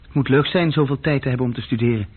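An actor playing a bored, self-deprecating young male bookkeeping clerk in a radio drama, speaking haltingly. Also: mid-range voice, breathy edge, lightly acted. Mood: curious, awkward. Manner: halting, searching for words. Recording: muffled old radio recording.

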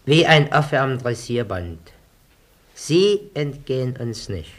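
An actor playing a menacing, mocking German Nazi agent interrogating people in a radio play. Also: male voice, mid-range voice, very animated, slow, fairly bright tone, full character voice. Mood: mocking menace.

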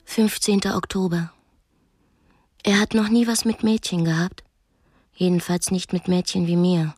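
A woman's voice: fairly low pitch, level tone, unhurried, a little mellow, dry recording, studio quality. An actress playing a young, educated captive woman in a radio drama, anguished and defiant, speaking intimately and often breathily. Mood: brooding, despairing. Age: young adult. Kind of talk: radio drama acting, intimate diary monologue and dialogue.

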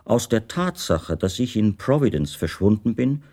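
Low-voiced, reflective male writer narrating. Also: expressive, a little mellow. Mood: reflective, precise. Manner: measured, precise, formal. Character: reflective male writer, a foreign guest recounting his disappearance. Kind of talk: literary radio drama first-person narration.

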